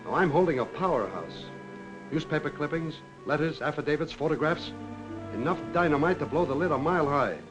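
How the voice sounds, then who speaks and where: A man's voice: a little high, animated, brisk, over music, natural voice, poor audio. Man acting a suave American blackmailer, swooping intonation. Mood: hard, threatening. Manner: smooth-talking, mocking. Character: suave, cynical, shameless male blackmailer. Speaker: film actor. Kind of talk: 1940s film noir acting. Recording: 1940s film soundtrack with score behind.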